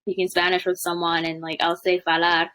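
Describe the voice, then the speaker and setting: A woman's voice: on the low side, completely flat delivery, brisk, bright, forceful tone, slight background noise. A young woman, a language coach, chatting casually on a video call from a home room, with lots of fillers. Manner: chatty, many fillers, laughs often. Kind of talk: casual interview chat.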